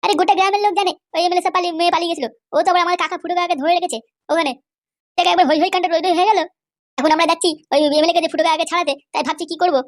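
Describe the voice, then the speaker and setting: Man voicing a squeaky, rapid-fire cartoon village lad. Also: steady tone. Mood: gossipy, warning. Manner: blunt, suspicious. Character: bold, nosy young village lad, warning strangers. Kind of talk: comic cartoon dubbing.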